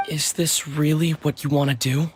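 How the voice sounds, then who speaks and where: A higher-voiced earnest young traveler. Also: male voice, little pitch movement, slow pace, slight background noise. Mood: concerned, gentle. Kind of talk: video game voice acting.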